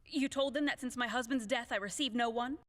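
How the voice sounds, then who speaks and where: An actress playing a proud, grieving young widow in an audio play, theatrical and lamenting. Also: female voice, on the high side, fairly quick, natural voice. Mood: haughty, irritated. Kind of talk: audio play acting of a classic stage comedy.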